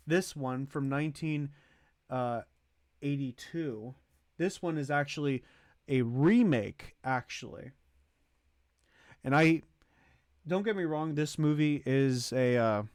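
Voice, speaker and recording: Male streamer for casual ranking commentary. Unhurried, halting, thinks out loud, very dry recording. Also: mid-range voice, no echo. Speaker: streamer hosting a movie tier list.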